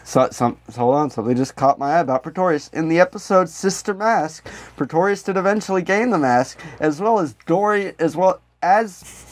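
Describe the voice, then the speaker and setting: A man co-hosts a casual comedy podcast in a somewhat high voice. He jokes sarcastically and irreverently. The sound is very dry, with no room echo. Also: animated, brisk. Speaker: podcast co-host.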